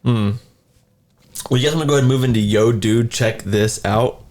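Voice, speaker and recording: A man's voice: medium pitch, normal speed, a little mellow. A man with an American accent chatting casually and jokingly as a podcast panelist. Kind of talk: casual chat.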